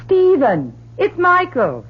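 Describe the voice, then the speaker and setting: A woman's voice: extremely high pitch, huge pitch swings, slow, full character voice. An actress playing a fretful, doting middle-aged wife and mother in an old-time radio drama, emotional and fussing. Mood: flustered, affectionate. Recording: tinny, noisy old radio recording.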